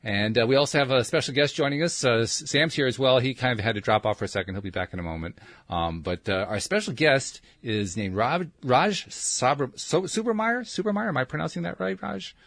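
A man's voice: medium pitch, lively intonation, brisk, fairly bright tone, dry recording, studio quality. A man hosting a talk show, chatting casually with many fillers and restarts, laughing easily as he introduces a guest. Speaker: show host. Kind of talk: casual interview chat.

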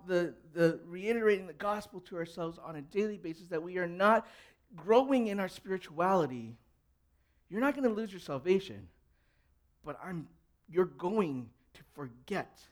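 A young adult man, a pastor whose first language was Cantonese, preaching a conversational sermon and putting questions to the congregation. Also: high voice. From grew up in an English-speaking country.

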